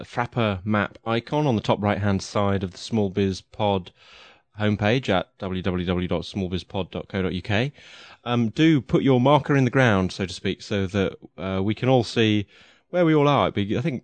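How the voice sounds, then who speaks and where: A man's voice: on the low side, no room sound. A man hosting a podcast, talking in a chatty, casual way with lots of fillers and restarts. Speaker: podcast host.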